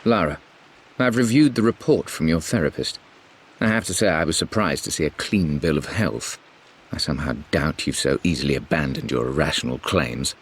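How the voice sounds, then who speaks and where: An actor playing a stern middle-aged British uncle, reading out a formal letter in a cold, imperious manner. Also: male voice, rumbling low, moderate pace, slightly rough, full character voice. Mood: cold, suspicious. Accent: British English. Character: stern, threatening upper-class uncle. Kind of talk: letter read in character.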